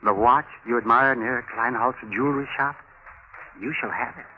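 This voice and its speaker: An actor playing a small, bumbling, henpecked husband, timid and pleading, in an old radio play. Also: male voice, mid-range voice, normal speed, pushed and bright. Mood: coaxing. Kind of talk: old-time radio comedy-drama acting. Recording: thin, noisy vintage radio recording.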